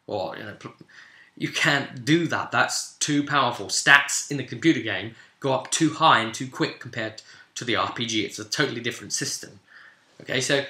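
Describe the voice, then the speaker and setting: A man's voice: medium pitch, pushed and bright. A British man recapping a tabletop role-playing session as game master, telling the story informally and explaining things with frequent asides. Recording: echoey room.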